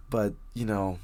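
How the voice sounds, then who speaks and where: A man playing a very shy, friendly young writer in an intimate coffee shop roleplay, speaking hesitantly with nervous stammers and a husky voice. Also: fairly low pitch, full character voice. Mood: bashful, grateful. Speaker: audio roleplay voice actor. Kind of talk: intimate one-sided roleplay acting, casual chat.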